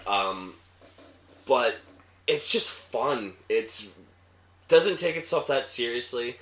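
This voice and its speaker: A man giving casual movie opinions as a panelist, halting and often correcting himself as he goes. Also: mid-range voice, lively intonation, fairly bright tone, a little hiss or hum. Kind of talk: casual review chat. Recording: echoey room.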